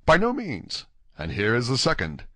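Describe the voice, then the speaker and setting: A man voicing an eager, courteous and bold young Gascon gentleman in audiobook dialogue, speaking formally and earnestly. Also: medium pitch, wildly swooping pitch, normal speed, no echo, studio quality. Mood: surprised, bright.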